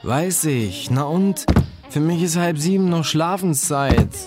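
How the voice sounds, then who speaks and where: A man's voice: medium pitch, unhurried, loud music behind the voice, lightly acted. Man as an exasperated, blunt young student. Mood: annoyed. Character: irritated, blunt young male student wanting sleep. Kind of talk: audiobook character dialogue.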